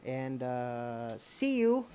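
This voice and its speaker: A woman with a Filipino accent and Cebuano as her native language, making casual, halting announcements that mix languages as co-host of an online meeting. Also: very deep voice, big pitch swings, dark tone, slight background noise.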